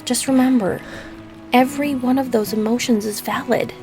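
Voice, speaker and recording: A woman playing a gentle, nurturing friend in an audio roleplay, speaking tenderly and reassuringly. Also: on the high side, steady tone, moderate pace, over music, natural voice. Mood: gently reassuring. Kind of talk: comforting audio roleplay acting.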